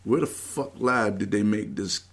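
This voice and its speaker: A man's voice: lowish voice, measured pace. A man co-hosting a casual podcast, rambling through descriptions with incredulous questions and free swearing. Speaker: podcast co-host.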